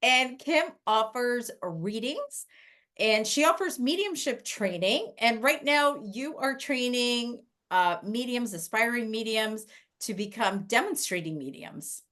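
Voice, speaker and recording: Female podcast host, higher voice, warm gushing chat over a video call. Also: expressive, slow pace. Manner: gushing, enthusiastic storyteller. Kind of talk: casual interview chat.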